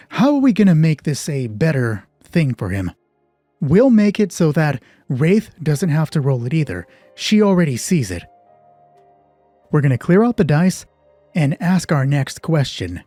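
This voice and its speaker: A man with a soft, dark and husky voice hosts a solo tabletop game. He thinks aloud conversationally between narration, close on a dry mic with faint music behind him. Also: on the high side, no echo. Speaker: solo game master, channel host. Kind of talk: solo tabletop roleplay narration with casual asides.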